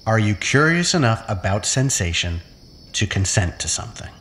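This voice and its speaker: A man's voice: fairly low pitch, sing-song, normal speed, fairly bright tone, a little hiss or hum. A man playing an obsessive, manipulative captive in an audio drama, with an intense, commanding, brooding manner. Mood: insistent, manipulative.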